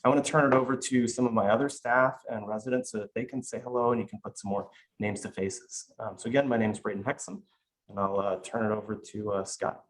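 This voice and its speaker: A man's voice: fairly low pitch, fairly even intonation, fast, rough recording. A man leading a video call in a loose, conversational way with frequent fillers. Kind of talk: casual video call presentation.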